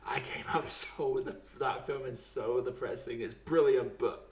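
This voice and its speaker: A man with a mid-pitched voice hosts a casual film chat. He talks in a slangy, blokey way, and the room around him is clearly echoey. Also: fairly even intonation, unhurried. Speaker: podcast host. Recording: echoey, roomy sound.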